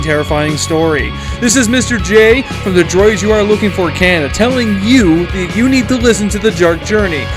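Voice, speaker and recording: High-voiced man gushing through a promo. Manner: gushing, emphatic. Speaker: podcast host reading a sponsor spot. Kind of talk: enthusiastic promotional read. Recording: guitar music under the voice.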